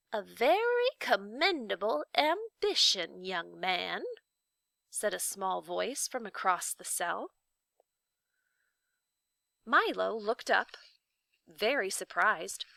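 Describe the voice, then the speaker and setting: Storybook narrator: woman, bright and expressive, close dry sound. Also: mid-range voice, creaky, no echo. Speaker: teacher reading aloud.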